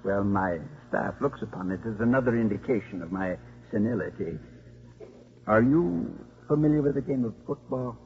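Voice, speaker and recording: An actor playing a gentle, drily humorous priest in his sixties, mild and a little hesitant, in a 1950s radio drama. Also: fairly low pitch, moderate pace, lightly acted. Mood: deferential, sly. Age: 60s. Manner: hesitant, mild, wryly formal. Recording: muffled 1950s radio broadcast.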